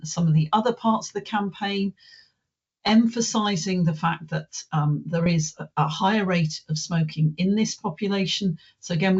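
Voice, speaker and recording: A presenter leading an online webinar, speaking in a halting way with many pauses and restarts. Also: mid-range voice, a little mellow, slightly rough. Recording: muffled.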